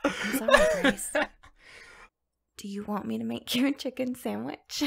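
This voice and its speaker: A woman playing a pampered, lazy teenage rich girl in an audio drama, teasing in a mocking, drawn-out way. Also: on the high side, huge pitch swings, gravelly, a bit bright, breathy edge, heavily acted.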